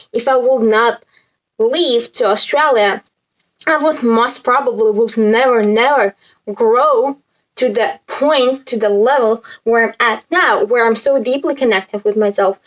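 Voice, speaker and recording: Slow, higher-pitched Latvian-accented young woman. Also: rough recording. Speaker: spiritual self-help vlogger. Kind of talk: casual talk to camera, explaining a list.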